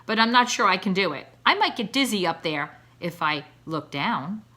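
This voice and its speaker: A woman voicing an excitable, curious young swan in a children's storybook, exclaiming questions in a childlike, anxious way. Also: medium pitch, moderate pace. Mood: worried. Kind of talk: children's storybook read-aloud.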